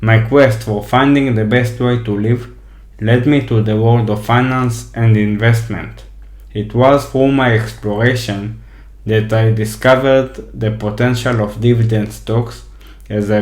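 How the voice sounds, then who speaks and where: A man in his thirties from Israel with an Israeli accent, reading a scripted introduction to his course in a steady, measured way. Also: lowish voice, roomy sound. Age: 30s. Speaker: course instructor.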